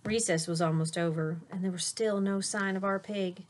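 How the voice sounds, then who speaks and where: Female storytime teacher, medium pitch, expressive, dramatic, chatty with young listeners. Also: moderate pace, a little hiss or hum. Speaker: teacher reading aloud to children. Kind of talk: expressive children's picture-book read-aloud.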